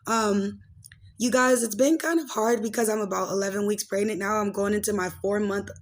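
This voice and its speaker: A woman's voice: medium pitch, steady tone, normal speed. A woman in her late twenties hosting a casual gossip and life-update podcast, rambling along in loose slang peppered with filler words. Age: late 20s. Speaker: podcast host and commentator. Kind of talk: casual gossip commentary and personal chat.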